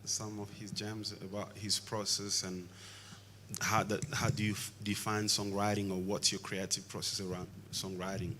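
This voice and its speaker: A man moderating a masterclass panel, rephrasing his questions with hesitant pauses. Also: lowish voice, little pitch movement, fairly bright tone, slight background noise. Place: recording studio.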